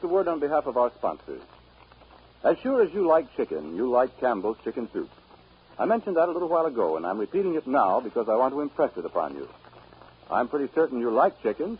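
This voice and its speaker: A muffled, scratchy 1930s broadcast features an American man announcing. His voice is a little high with a rough grain, swinging widely in pitch as he speaks with polished, emphatic salesmanship. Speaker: radio announcer.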